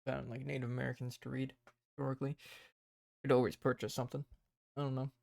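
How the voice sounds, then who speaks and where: Croaky-voiced man thinking aloud. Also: mid-range voice, little pitch movement, fast talker, darkish tone, no echo. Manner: rambling, thinking aloud while planning. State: hoarse, tired voice. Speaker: streamer. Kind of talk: casual livestream chat.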